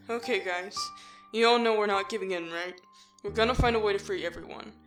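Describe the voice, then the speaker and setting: A woman playing a calm, determined young human leader in an audio drama, measured, sincere and reassuring. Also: medium pitch, distant mic, close to an everyday voice. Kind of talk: amateur audio drama acting.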